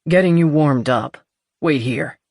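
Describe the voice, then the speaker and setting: A narrator voicing a gruff, dryly amused man, the terse hero of a romance audiobook. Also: medium pitch, unhurried, a little mellow, no echo. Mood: matter-of-fact. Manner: dry, terse. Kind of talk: audiobook character dialogue.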